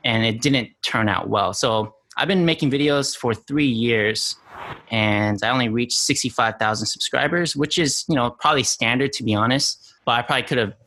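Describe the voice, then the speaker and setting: Bright-voiced young guy, chatty. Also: medium pitch, normal speed. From New Mexico, USA. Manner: chatty, lots of fillers like "you know", easy laughs. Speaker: guest, content creator. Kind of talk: casual podcast interview.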